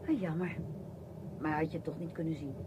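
Radio play: actress as reflective, kindly woman, low register, musing delivery, heavy background noise. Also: fairly even intonation, brisk, lo-fi sound, natural voice. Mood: gently engaged. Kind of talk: Dutch radio play acting. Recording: loud vehicle-like background noise.

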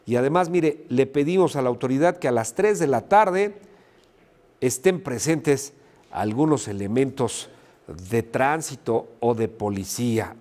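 A man in his forties anchoring an evening newscast from a TV studio, with a brisk, upbeat presenter delivery. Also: a little high, darkish tone. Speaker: news anchor. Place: TV news studio.

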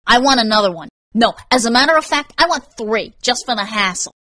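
Woman acting a loud, hot-tempered, sarcastic young man. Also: mid-range voice, moderate pace, no room sound, studio quality, pitched above the performer's own voice, close to an everyday voice. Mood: angry, demanding. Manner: ranting, foul-mouthed, sarcastic.

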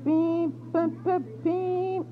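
A man's voice: very high voice, level tone, extremely slow, pitched above the performer's own voice, heavily acted. A man voicing a boastful, cross male tank engine in a children's storybook, easily indignant. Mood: whistling angrily. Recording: tinny phone-like audio with background noise.

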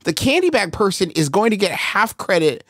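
A man's voice: high-pitched, sing-song, normal speed, a bit bright, no room sound, studio quality. A man hosting a podcast, riffing emphatically in comedic, casual banter with mock exasperation. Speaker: podcast host.

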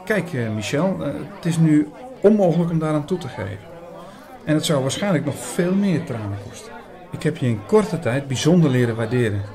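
A man's voice: on the high side, sing-song, fairly quick, dark tone, some chatter behind. Earnest young male priest, played by an actor. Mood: earnest, tender. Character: earnest young priest Hugo, gentle, hesitant, narrating his story. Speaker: narrator and lead actor. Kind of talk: Dutch audio drama narration and dialogue.

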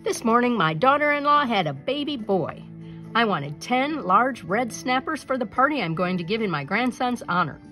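A woman's voice: a little high, animated, moderate pace, sharp tone, faint music behind, pitched above the performer's own voice. A woman voicing a rich, generous old male merchant in a storybook, speaking in an emphatic, marvelling manner. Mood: pleased, explaining.

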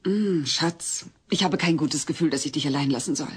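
A woman playing a loving middle-aged suburban wife in an audio drama, husky and breathy, affectionate yet firm. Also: low-pitched, normal speed. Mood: fond. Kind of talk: German audio drama dub acting.

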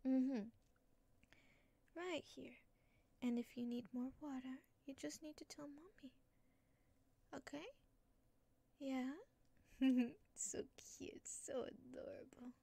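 Slow breathy whispering woman, motherly. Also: high-pitched, fairly even intonation, dry recording. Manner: soft whispering, soothing and caretaking. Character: comforting motherly caretaker. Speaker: ASMR creator. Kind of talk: ASMR roleplay.

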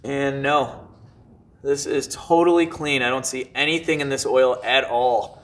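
A man's voice: medium pitch, fairly even intonation, measured pace, a bit bright. Chatty man working under a car. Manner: chatty, exasperated asides, talks while working. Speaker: car restoration YouTuber. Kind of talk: casual hands-on car repair vlog. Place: garage under a car on a lift. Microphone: handheld camera held close. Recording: echoey garage.

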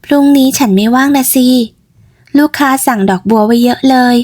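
A woman voicing a lively young flower seller in a storybook tale, inquisitive, headstrong and chirpy. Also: on the high side, fairly quick, natural voice. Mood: chatty. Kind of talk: storybook character voice.

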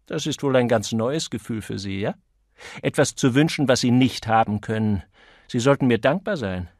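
A man's voice: mid-range voice, moderate pace, dry recording, voice pushed down, lightly acted. A man voicing a cool, enigmatic man who teases in a dry, condescending way, as an audiobook character. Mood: mocking, aloof. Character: cool, mocking, enigmatic man toying with his listener.

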